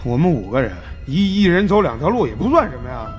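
A man's voice: fairly high pitch, big pitch swings, fast, faint music behind, pitched above the performer's own voice. A man voicing a cheeky, nervous fat man who talks colloquially and stammers. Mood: flippant.

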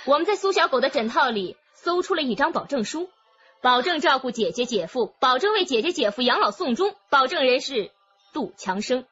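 A woman's voice: high-pitched, expressive, a bit bright, breathy edge, lo-fi sound, soft background music, full character voice. An actress playing a composed, methodical policewoman with an authoritative delivery in a radio drama. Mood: matter-of-fact. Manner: measured, authoritative. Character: composed, methodical female police officer presenting evidence.

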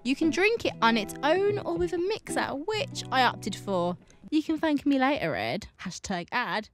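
A woman's voice: sits high, sing-song, normal speed, music in the background. Teasing young woman host. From Birmingham, England. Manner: teasing, playful. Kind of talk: scripted presenting and casual chat. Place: indoor living room set.

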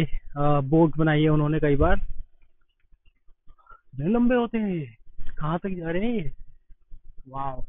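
A man's voice: on the high side, no room sound. A young man from India narrating a travel vlog outdoors, chatty and exclaiming as he shows viewers around. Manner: chatty, exclaiming, tour-guide commentary to viewers. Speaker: travel vlogger. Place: outdoors at a temple site. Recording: tinny phone-like sound.

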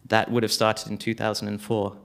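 A man's voice: on the low side, completely flat delivery, fairly quick, vocal fry, fairly bright tone. A young man, a community campaigner from London, speaking on a panel in a small hall with a handheld mic, hesitant with many restarts. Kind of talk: panel discussion.

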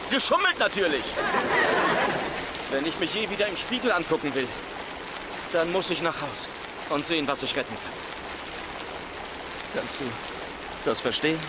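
An actor playing an exasperated, guilt-ridden younger man in a German sitcom dub, whiny and excitable. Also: fairly high pitch, moderate pace, long pauses, natural voice. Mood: fed up. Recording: heavy rain and outdoor noise.

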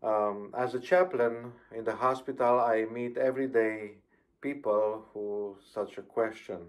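A man's voice: on the low side, fairly even intonation, a little slow. A middle-aged man with a Romanian accent preaching a sermon over a video call from a plain room, careful and hesitant with frequent ums. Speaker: pastor. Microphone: webcam on video call.

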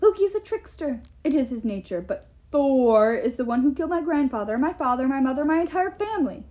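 A woman's voice: high voice, expressive, brisk, warm tone, voice pushed up. A woman voicing a huge, boastful and menacing male giant king in an audiobook, with emphatic, pompous delivery. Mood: indignant. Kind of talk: audiobook character voice.

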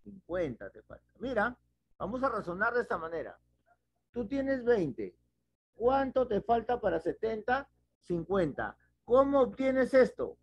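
A man's voice: very high-pitched, sing-song, unhurried, dry recording. A middle-aged male math teacher, patiently walking students through a problem at a whiteboard in a small home room, wearing a headset. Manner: patient, explains step by step with questions. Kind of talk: online class at a whiteboard. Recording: dull lo-fi video call audio.

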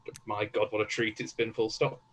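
A man's voice: lowish voice, monotone, brisk, fairly bright tone. A man hosting a casual livestream, speaking effusively as he gives thanks. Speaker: stream host. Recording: noisy background on webcam stream.

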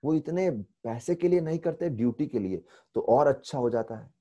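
A man in his forties with an Indian accent teaches over a video call, his voice mellow and warm. He tells stories with animated gestures and slips English phrases into his Hindi. He speaks into an earphone mic in a temple room. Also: medium pitch, normal speed, highs cut off. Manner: lively storytelling, mixing English phrases into Hindi. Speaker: spiritual teacher. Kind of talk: online spiritual lecture. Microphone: earphone headset mic on video call.

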